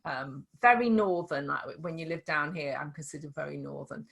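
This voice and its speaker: Slight Scouse woman, middle-aged, chatty tutor demoing software, loose and restarting. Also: deep voice, normal speed, creaky. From Liverpool. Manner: chatty, demonstrative, many restarts. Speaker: dyslexia tutor. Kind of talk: casual screen-share tutoring session.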